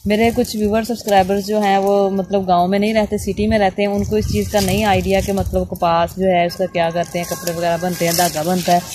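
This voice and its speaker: A woman's voice: mid-range voice, flat delivery, quick pace, hardly any pauses. A woman with a Punjabi accent, vlogging casually outdoors in a cotton field and explaining things in a chatty way full of filler phrases. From Punjab, Pakistan. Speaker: village vlogger. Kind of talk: casual vlog narration. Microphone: handheld phone camera. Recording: noisy outdoor phone recording.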